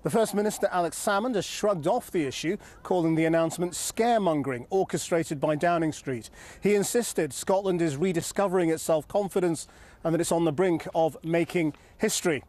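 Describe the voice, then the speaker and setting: A man presents the news in a measured, scripted way and then asks interview questions. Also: a little high, darkish tone. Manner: measured, clear newsreader delivery.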